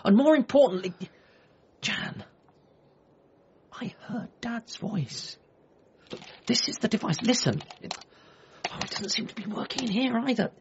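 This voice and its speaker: An actor playing a frantic, stammering grieving son in a radio comedy drama. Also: male voice, high voice, measured pace, long pauses, highs cut off, a little hiss or hum. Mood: frantic, excited. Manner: stammering, rambling, excitable. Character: frantic, grieving adult son convinced his dad was an alien.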